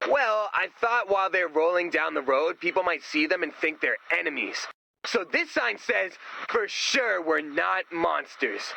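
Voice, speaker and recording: A man voicing an excitable, goofy inventor in a video game, talking like an eager salesman. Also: high voice, measured pace, vocal fry, a little hiss or hum, exaggerated voice, rough recording. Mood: earnest. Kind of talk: video game character dialogue.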